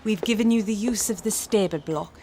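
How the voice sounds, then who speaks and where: An actress playing a brisk upper-class hostess in a radio play. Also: female voice, mid-range voice, dark tone, a little hiss or hum, natural voice. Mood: brisk, hospitable.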